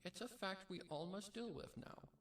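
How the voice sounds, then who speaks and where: A man voicing a gentle, solemn elderly grandmother pony in a very soft, soothing voice for a story. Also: high-pitched, far from the mic, soft background music, pitched above the performer's own voice. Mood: solemn comfort. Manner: slow, kindly, consoling.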